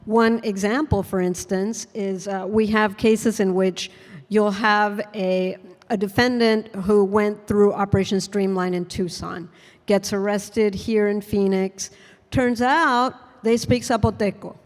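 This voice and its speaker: A woman's voice: mid-range voice, fairly even intonation, a little slow. A woman on a conference panel who works in federal public defense, answering earnestly and with many fillers. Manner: earnest, thoughtful, with frequent fillers. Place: conference hall. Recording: long reverb in a hall.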